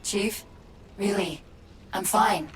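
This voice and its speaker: A woman playing a quick-witted, quietly troubled military AI companion in a video game cutscene, brisk, technical and wry. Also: medium pitch, a little hiss or hum, natural voice, rough recording. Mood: uneasy, subdued. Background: loud music.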